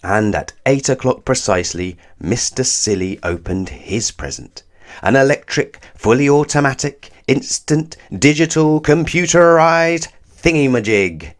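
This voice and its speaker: A man reading a children's story aloud in an expressive, deliberate way, drawing out words for emphasis. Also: mid-range voice. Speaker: storybook reader. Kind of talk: scripted children's story narration read aloud.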